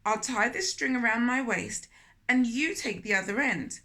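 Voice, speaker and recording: A woman's voice: fairly high pitch, moderate pace, sharp tone. Woman voicing an eager, greedy storybook spider. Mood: eager, scheming.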